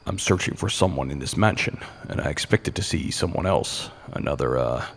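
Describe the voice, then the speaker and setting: An actor playing an earnest, exasperated fantasy adventurer in an audio drama, halting and incredulous. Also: male voice, very deep voice, lively intonation, fairly bright tone, exaggerated voice. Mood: baffled, wary.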